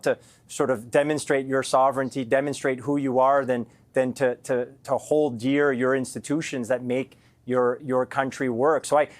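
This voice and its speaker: A man giving conversational political commentary on a live TV panel. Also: medium pitch.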